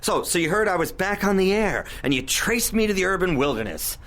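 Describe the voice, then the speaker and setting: A man's voice: high voice, very animated, a little fast, fairly bright tone. Wry, swooping washed-up radio man. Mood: defensive, needling. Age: middle-aged. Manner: wry, defensive. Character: washed-up radio host, middle-aged, down-and-out, defensive, wry ex-lover. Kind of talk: audio drama acting.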